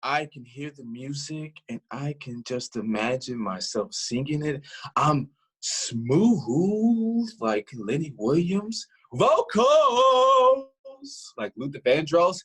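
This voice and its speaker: Slow, animated lovelorn young man played by an actor. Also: a little high, husky, exaggerated voice. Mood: wistful, eager. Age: young adult. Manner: earnest, halting, rambling. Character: lovelorn, self-deprecating romantic young man, stubborn dreamer. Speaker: stage actor. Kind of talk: theatrical monologue to the audience.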